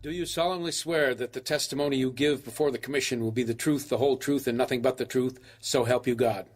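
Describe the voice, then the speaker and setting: A man playing a methodical commission lawyer who questions a witness formally and deliberately in an audio drama. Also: medium pitch, steady tone, faint music behind, natural voice. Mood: formal, probing.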